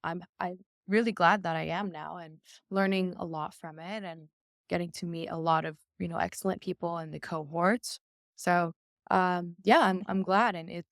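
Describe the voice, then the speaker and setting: A woman's voice: lowish voice, fairly even intonation, normal speed, creaky, dry recording, clean studio sound. A young adult woman whose first language is Persian, speaking as a podcast guest in a candid, chatty way with frequent fillers. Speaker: interview guest, violinist. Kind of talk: casual podcast conversation.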